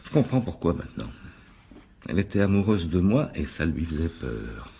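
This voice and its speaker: An actor playing a wily, self-important stage actor in a French radio drama, with hesitant, theatrical delivery. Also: male voice, low-pitched, sing-song, moderate pace, creaky, warm tone, slight background noise, full character voice. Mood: resigned.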